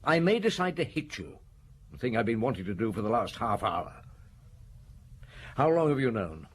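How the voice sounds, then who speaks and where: An actor playing a blunt, probing middle-aged police inspector in a radio drama. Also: male voice, medium pitch, very animated, moderate pace, a little hiss or hum, close to an everyday voice. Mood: stern.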